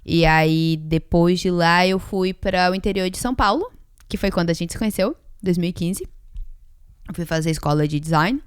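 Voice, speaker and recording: A Brazilian woman of about thirty chatting casually as a podcast co-host, informal and quick to joke about herself. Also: fairly low pitch, clean tone, no echo, studio quality. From Ceará, Brazil.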